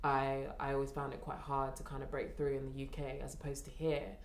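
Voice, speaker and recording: A woman's voice: extremely low pitch, monotone, a little fast. A woman with a London accent speaking candidly in an interview. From Streatham, southwest London, England. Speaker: actor being interviewed. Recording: roomy echo.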